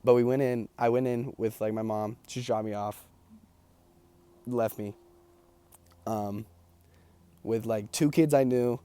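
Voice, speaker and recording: A man's voice: mid-range voice, steady tone, slow, pauses often, dark tone. A young man chatting casually to camera, tired and losing his voice, rambling with lots of ums and likes. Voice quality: gravelly. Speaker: vlogger. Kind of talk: casual chat.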